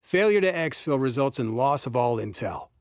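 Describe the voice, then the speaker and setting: An actor playing a serious commander in a video game, giving formal, authoritative briefings to operatives. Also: male voice, medium pitch, lively intonation, moderate pace, natural voice. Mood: grave, resolute.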